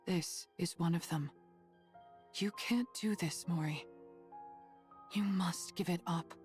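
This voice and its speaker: A woman playing a stern, protective female android soldier in an anime game dub, speaking in a measured, grave, firm way. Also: fairly low pitch, darkish tone, soft background music. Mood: stern, resigned.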